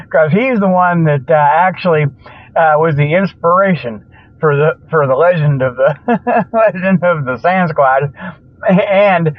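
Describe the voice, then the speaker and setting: A man's voice: fairly high pitch, dry recording. A man hosting a comedic radio show, rambling along in a folksy, colloquial, joking monologue. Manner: folksy, colloquial, jokey babbling with frequent chuckles. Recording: thin phone-like radio sound.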